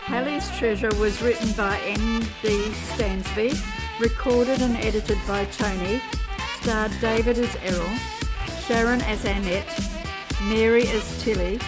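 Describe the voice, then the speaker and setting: A woman's voice: a little high, fairly even intonation, unhurried, over loud music. A woman reading scripted narration for an amateur radio play.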